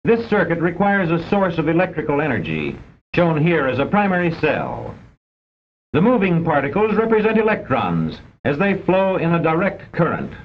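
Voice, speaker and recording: Man, American accent, formal educational film narration, very lively intonation, precise measured delivery, muffled old soundtrack. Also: a little high, slight background noise. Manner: measured, didactic, precise enunciation. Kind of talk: formal scripted narration of a vintage instructional film. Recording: dull, band-limited old film soundtrack.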